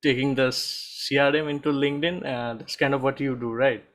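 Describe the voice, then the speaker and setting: A man's voice: mid-range voice, steady tone, moderate pace, a bit bright. Easygoing male podcast co-host. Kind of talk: casual podcast interview.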